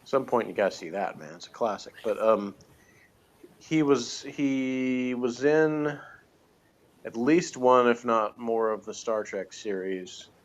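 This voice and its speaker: A man's voice: mid-range voice, lively intonation, takes it slowly. Muffled man, rambling and wry. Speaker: podcast co-host reviewing TV episodes. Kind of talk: casual chat.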